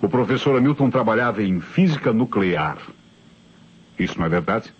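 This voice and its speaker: Probing Brazilian police inspector, acted by a man, animated delivery. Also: lowish voice, moderate pace, natural voice. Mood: accusing, insistent. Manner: interrogating, pointed, commanding. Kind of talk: old Brazilian radio drama acting. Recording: dull old radio recording, faint hiss.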